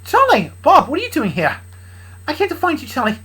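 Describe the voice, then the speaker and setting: A woman's voice: sits high, huge pitch swings, fairly bright tone, pitched above the performer's own voice, exaggerated voice. A woman voicing an urgent young woman who hurries to deliver worrying news. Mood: worried. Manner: hurried, worried.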